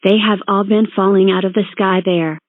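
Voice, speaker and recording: A woman's voice: mid-range voice, steady tone, normal speed, no room sound. Tinny phone-band woman announcing emergency alerts. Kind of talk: scripted emergency broadcast announcement.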